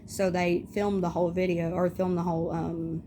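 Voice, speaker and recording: A woman's voice: lowish voice, level tone, moderate pace. A young woman with a scratchy voice, chatting casually and rambling through tangents as she shows off makeup at home. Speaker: beauty vlogger. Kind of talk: casual chat.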